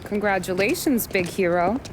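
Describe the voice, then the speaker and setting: A woman's voice: mid-range voice, lively intonation, moderate pace, background noise, close to an everyday voice. An actress playing a brassy 1930s bar woman with a wry, teasing manner.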